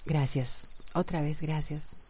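A woman's voice: sits low, fairly even intonation, takes it slowly, noisy background. An actress playing Amanda, a hesitant, shaken woman, in a radio soap opera. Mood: coy, hesitant.

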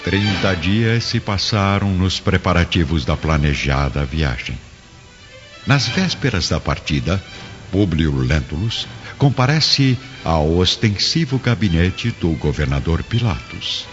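A man with a Brazilian accent narrating a scripted radio drama in a solemn, deliberate storytelling manner. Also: sits low, sing-song. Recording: dull lo-fi radio drama with music.

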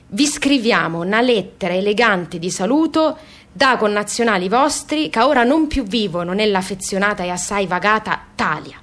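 An actress voicing a pompous, unschooled emigrant with a southern Italian accent, reciting a grandiloquent letter full of mangled formal words in a radio play. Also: female voice, mid-range voice, a bit bright, natural voice. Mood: mock-solemn. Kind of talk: theatrical comic recitation.

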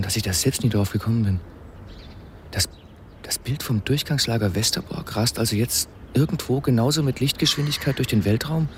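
An actor playing a melancholy middle-aged man in a radio drama, speaking in a reflective, halting, thoughtful way. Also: mid-range voice, creaky, a little hiss or hum, natural voice.

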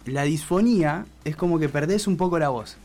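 Joking Argentine man, bright higher voice. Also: fairly quick, a little hiss or hum. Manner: joking, explains things. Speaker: radio co-host. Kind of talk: casual radio chat.

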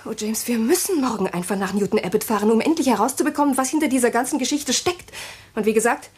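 An actress playing an anxious, determined wife in a radio drama, insistent and sometimes whispering. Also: female voice, on the high side, quick pace, a little mellow, husky. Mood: tense.

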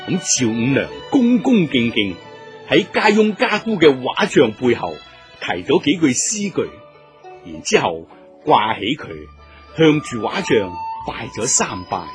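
Extremely animated Cantonese male storyteller. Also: medium pitch, measured pace. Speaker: story narrator. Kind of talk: animated storytelling narration for audio drama. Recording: music under the voice.

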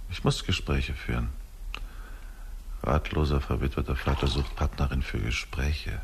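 An actor playing a middle-aged widower talking bitterly to himself in a radio drama monologue. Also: male voice, a bass voice, slight background noise. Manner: rambling, bitter soliloquy. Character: brooding, self-justifying widowed father talking to himself.

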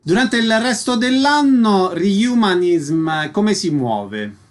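A man's voice: high voice, expressive, takes it slowly. A man working as a radio interviewer, asking concise questions and making formal announcements.

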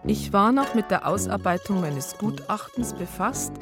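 A woman's voice: mid-range voice, expressive, normal speed, loud music behind the voice, close to an everyday voice. A woman playing an educated police psychologist in a radio crime drama, with a Bavarian accent and an earnest, wry, argumentative way of talking. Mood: wry narrating. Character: female police psychologist, educated, earnest, probing, wryly narrating.

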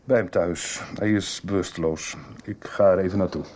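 An actor playing a businesslike, formal police investigator in a Dutch radio soap. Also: male voice, deep voice, steady tone, normal speed, darkish tone, close to an everyday voice. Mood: matter-of-fact.

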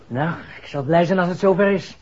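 An actor playing a chatty, deferential household servant in a 1960s radio drama. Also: male voice, high-pitched, a little fast, darkish tone. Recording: dull, faintly noisy old radio recording.

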